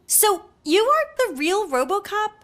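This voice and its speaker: A woman's voice: very high-pitched, very animated, moderate pace, a bit bright, full character voice. A woman voicing a brash, flirtatious hotel desk worker in a video game, with a teasing, sassy, casual manner.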